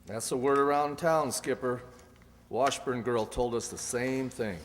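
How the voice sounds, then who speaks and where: An actor playing a plain-spoken police detective sidekick in a radio crime drama. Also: male voice, mid-range voice, a little slow, lightly acted. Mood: earnest. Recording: reverberant hall.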